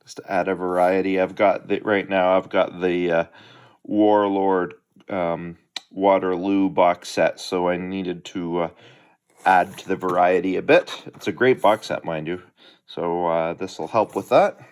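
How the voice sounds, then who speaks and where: Relaxed, chatty middle-aged man host. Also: low voice, measured pace, clear, resonant tone. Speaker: hobby channel host. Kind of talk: casual unboxing chat. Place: home.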